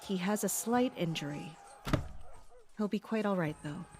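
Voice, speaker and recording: A woman plays a perceptive female guard captain in an audio drama. She speaks calmly and formally in a middle pitch, over faint noisy ambience. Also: moderate pace, lightly acted. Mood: composed, polite. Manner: calm, formal, matter-of-fact.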